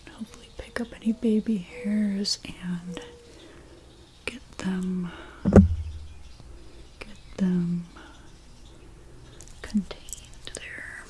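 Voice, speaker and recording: A woman whispering in an ASMR role play as a hairstylist, gently talking through each step in a breathy, mostly unvoiced voice. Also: fairly low pitch, steady tone. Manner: gentle, unhurried, step-by-step personal attention. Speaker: ASMR creator playing a hairstylist.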